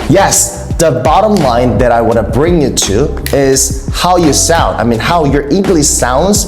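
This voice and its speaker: A woman from South Korea with a Korean accent, a native Korean speaker, giving an emphatic English-learning monologue straight to viewers and repeating key points. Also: deep voice. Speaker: English teacher and video host. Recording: roomy echo, faint music.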